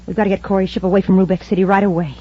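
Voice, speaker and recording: Woman playing a husky, imperious villainess. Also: medium pitch, level tone, rapid-fire, warm tone, natural voice. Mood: scheming, impatient. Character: imperious, scheming female villain boss. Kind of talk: 1950s radio adventure serial acting. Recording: dull vintage radio recording.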